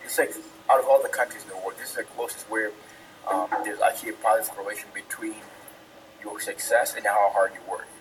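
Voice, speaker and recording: A man's voice: fairly low pitch, fairly even intonation, fast. A young man from Zambia answering interview questions casually, with many ums and the odd laugh. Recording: distant mic, noisy ambience.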